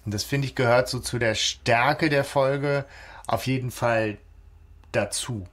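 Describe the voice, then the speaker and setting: A man's voice: medium pitch, unhurried, a bit bright. A man co-hosting a podcast, talking informally in a casual discussion. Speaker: podcast co-host.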